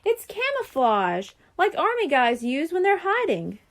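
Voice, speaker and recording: A woman's voice: very high-pitched, wildly swooping pitch, unhurried, pitched above the performer's own voice, exaggerated voice. A woman voicing a determined, earnest young boy in a children's storybook. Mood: thoughtful.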